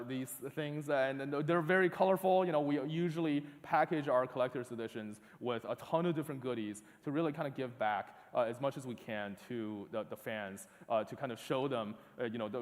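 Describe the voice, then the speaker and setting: A young man from northeast China, a conference speaker giving a talk with slides, halting and full of uh fillers and restarts. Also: mid-range voice. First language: Chinese. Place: large auditorium. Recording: long hall reverb.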